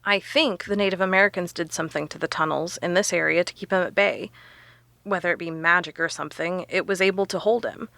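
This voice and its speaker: Sharp researcher lady, bright voice, explains stuff with punch, audio drama, really dry sound. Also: on the low side, fairly even intonation, fairly quick, vocal fry, no room sound. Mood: explaining, intent. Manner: emphatic, explanatory.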